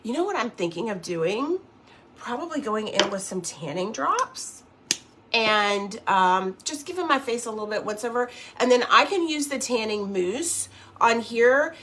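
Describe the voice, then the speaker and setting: A woman's voice: a little high, lively intonation, a little slow, a bit bright, slight background noise. Chatty woman in her fifties, livestream. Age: 50s. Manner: chatty, rambling, reads viewer comments aloud. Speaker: makeup livestream host. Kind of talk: casual livestream makeup tutorial.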